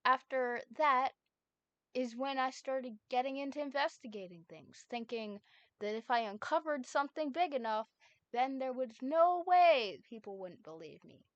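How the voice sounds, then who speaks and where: A woman streamer voicing a frightened, desperate teenage boy who is never believed, with pleading, rushed outbursts. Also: high-pitched, lively intonation, dry recording, dull audio. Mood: bitter. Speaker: streamer reading a fanfic aloud. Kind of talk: dramatic fanfic reading.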